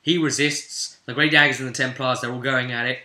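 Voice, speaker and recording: A man speaks in a very bright, forceful voice inside a noticeably echoey room. He is a game master recapping a roleplay session as casual storytelling. His delivery rambles and leans hard on key words, slipping into quoted remarks as he goes. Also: medium pitch, steady tone, brisk.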